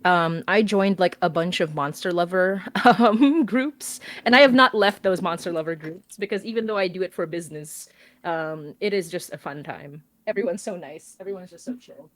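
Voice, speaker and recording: A woman's voice: fairly low pitch, normal speed, no echo. A woman chatting casually on a livestream as a guest artist, laughing often and trailing off with ums.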